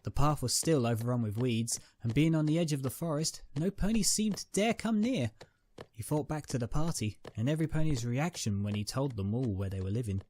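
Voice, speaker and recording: A man's voice: medium pitch, animated, brisk, darkish tone, a little hiss or hum. A man reading a scripted dramatic story as its narrator.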